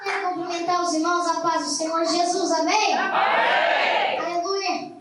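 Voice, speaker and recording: A woman's voice: very high-pitched, flat delivery, normal speed, heavy background noise. A young girl preaching to a congregation in a sing-song cadence, in a clip recorded in a church. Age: under 18. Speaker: child preacher. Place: large church. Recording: echoey church clip from far off with crowd. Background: congregation responding.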